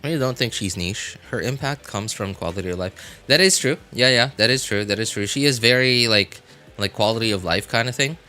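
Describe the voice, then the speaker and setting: A man's voice: fairly low pitch, a little fast, sharp tone, soft background music. A man streaming a video game, chatting casually in a rambling, self-deprecating way. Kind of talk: casual livestream chat while playing a video game.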